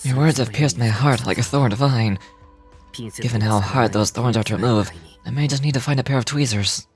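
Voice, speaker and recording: An earnest, literal-minded young man from a video game, played by a male actor. He speaks in a medium pitch with deliberate, formal and slightly theatrical phrasing. Music plays clearly behind him. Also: lightly acted. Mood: dramatic hurt.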